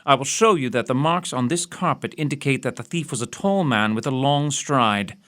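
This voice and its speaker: A keen, self-assured gentleman detective played by a man in a period audio mystery. His voice sits at an ordinary male pitch. He speaks in precise, formal sentences with pompous assurance and dry wit. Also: moderate pace, close to an everyday voice. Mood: brisk, absorbed.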